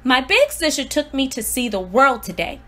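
A precocious storybook baby, acted out by a woman, with a high, bright voice. The delivery is earnest and exclamatory, swooping widely in pitch, full of indignant, eager self-importance and drama. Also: very animated, normal speed, exaggerated voice. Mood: wondering admiration. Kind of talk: expressive storybook read-aloud.

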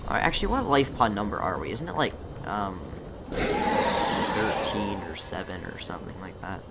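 A young man commentating his own gameplay in a casual, chatty way, muttering to himself, his voice slightly rough from a recent illness. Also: lowish voice, animated, normal speed, heavy background noise. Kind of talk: casual let's-play commentary.

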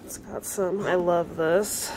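Woman, young adult, casual vlog narration, unhurried, phone mic, faint background noise. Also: on the low side, fairly even intonation, a little mellow, poor audio. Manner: chatty, slow, frequent throat clearing. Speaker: vlogger. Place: home kitchen, indoors.

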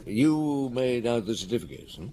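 An actor playing a shrewd, patient middle-aged police detective in a radio drama, with a measured, probing way of talking. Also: male voice, medium pitch, very animated, mellow. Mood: probing, wry. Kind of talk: radio drama dialogue and first-person narration.

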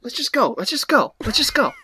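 A man playing an awkward, impatient teenage boy, halting and repeating himself, in improvised comedy. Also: extremely high pitch, very animated, a crowd in the background, pitched above the performer's own voice. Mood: urging.

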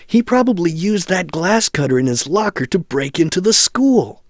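Concerned helpful pal in a video game, earnest, high voice that moves around a lot. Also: male voice, very animated, moderate pace, dry recording, clean studio sound, full character voice. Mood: earnest, advising. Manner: earnest, advising. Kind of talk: adventure game hint dialogue.

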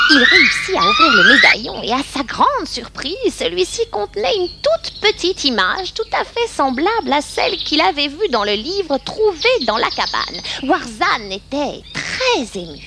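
High, animated woman storyteller. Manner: expressive storytelling. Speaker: narrator. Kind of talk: storybook narration for children. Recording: clear background noise behind the voice.

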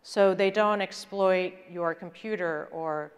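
A woman's voice: fairly low pitch, slow pace, clear, resonant tone. A middle-aged woman gives an informal, conversational business presentation at a conference. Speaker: conference speaker, corporate executive. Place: large indoor conference stage before an audience. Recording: echoey hall with long reverb.

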